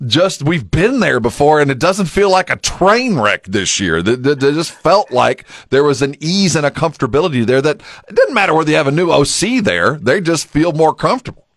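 A man hosting a conversational sports talk radio show, speaking in a deliberate, reflective way. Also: a little high, no echo, studio quality.

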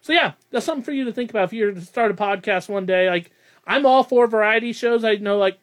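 A man's voice: high voice, fast. A young man hosting a casual podcast, rambling and self-deprecating, laughing often. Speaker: podcast host.

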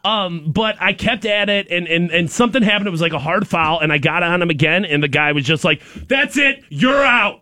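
Radio co-host, man in his 20s, loud and eager, bright and forceful tone. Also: high-pitched, fairly quick, hardly any pauses, dry recording. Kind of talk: casual radio banter.

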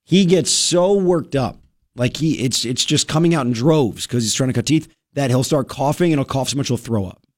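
A male radio host telling a story in casual talk-radio style, animated and full of quick asides. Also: mid-range voice, dry recording, clean studio sound.

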